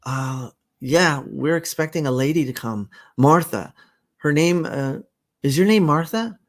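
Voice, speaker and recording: A man voicing a young girl who is eager and fidgety, stammering in a raised voice. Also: a little slow. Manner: stammering, high and excited.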